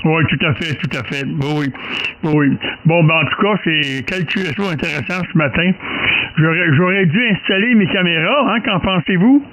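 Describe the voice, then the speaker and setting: A man's voice: fairly high pitch, moderate pace, a bit bright. Quebec man chatting over noisy ham radio. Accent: Quebec French. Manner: rambling, hesitant, repeats himself. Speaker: amateur radio operator leading a group contact. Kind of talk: casual radio chat. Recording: clearly noisy amateur radio recording with clicks.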